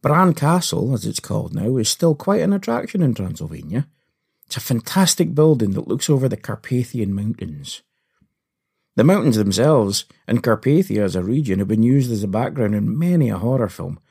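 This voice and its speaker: A man telling an adventure story to children in a playful, animated style with comic understatement. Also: mid-range voice, gravelly, slightly rough. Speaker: podcast host and storyteller.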